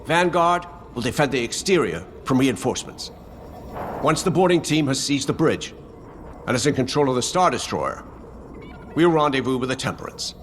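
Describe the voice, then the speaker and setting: An actor playing a confident, easygoing rebel ace pilot in a video game, explaining plans in a plain-spoken, assured way. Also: male voice, medium pitch, a bit bright, slight background noise, close to an everyday voice. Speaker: pilot leader giving a briefing. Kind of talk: scripted cinematic game acting. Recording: orchestral score behind. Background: cinematic music.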